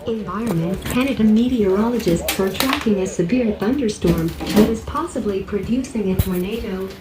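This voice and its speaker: A woman's voice: medium pitch, moderate pace. Echoey synthetic female emergency announcer. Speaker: public alert announcer. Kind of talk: formal scripted emergency alert. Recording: echoey, noisy radio playback in room.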